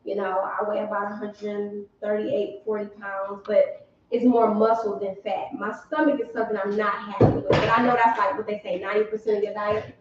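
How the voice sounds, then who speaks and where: A woman's voice: medium pitch, little pitch movement, a little fast, slight background noise. Chatty woman in her thirties, echoey room. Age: 30s. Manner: candid, chatty, laughs easily. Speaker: podcast co-host. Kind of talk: casual chat. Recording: echoey room, distant mic, dull sound.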